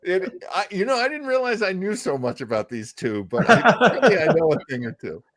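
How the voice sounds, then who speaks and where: A man's voice: sits high, sing-song, fairly quick, crowd noise. A man hosting a casual panel chat, explaining and retelling old comedy bits. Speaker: show host.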